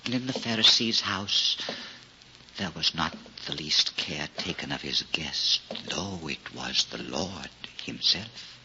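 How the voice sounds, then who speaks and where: An old radio drama recording, muffled and hissy, carries a grieving old village cobbler. His voice is very low and slow, heavy with weariness and bitterness, yet it cuts through with a hard, forceful edge. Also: lively intonation, creaky, exaggerated voice. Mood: reverent, reflective. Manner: slow, weary, old-fashioned formal speech. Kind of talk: 1940s radio drama acting.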